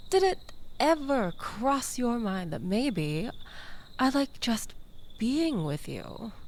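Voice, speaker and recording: A woman's voice: on the high side, a little hiss or hum, heavily acted. A woman playing a manipulative, flirtatious ex-lover in an intimate audio roleplay, languid and teasing, coaxing the listener with theatrical pleading. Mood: wistful, coy.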